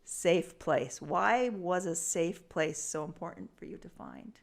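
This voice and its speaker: A woman's voice: fairly low pitch, expressive, unhurried, gravelly. Encouraging woman podcast co-host. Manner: encouraging, with frequent affirmations like wow and yes. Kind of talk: casual chat interview.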